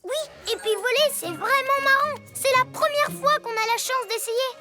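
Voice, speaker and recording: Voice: extremely high pitch, normal speed. An actor voicing a spirited, proud young girl superhero in a cartoon dub, with an emphatic, childlike delivery. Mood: excited. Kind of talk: animated cartoon dubbing. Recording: music behind.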